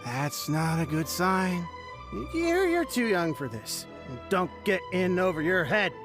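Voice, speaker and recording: A drunken, regretful game character. He speaks slowly with wide swings in pitch, halting and slurring nervously over clear music. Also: sing-song, full character voice. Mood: uneasy warning. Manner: halting, mumbling, trailing off. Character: tipsy, rueful, nervous man drowning his regrets. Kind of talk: English video game voice acting. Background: background music.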